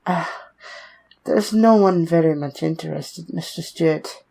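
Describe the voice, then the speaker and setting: A woman's voice: low-pitched, measured pace, creaky, mellow, full character voice. An actress playing a meek, earnest young woman seeking work, timid, polite and deferential, in an old-time radio drama style. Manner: polite, timid, hesitant, formal.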